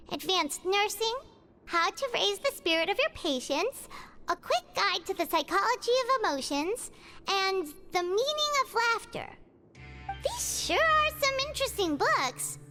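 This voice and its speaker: Squeaky, very high little fairy girl. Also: exaggerated voice. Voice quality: squeaky, childlike. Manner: bubbly, expressive, refers to herself in third person. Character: small childlike fairy girl companion, curious, excitable, chatty. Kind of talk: video game voice acting. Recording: music behind the voice.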